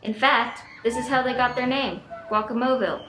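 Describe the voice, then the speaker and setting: Fairly high woman storyteller, echoey. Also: fairly even intonation, moderate pace, fairly bright tone, slight background noise. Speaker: narrator. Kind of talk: scripted storybook narration. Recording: echoey room, music behind.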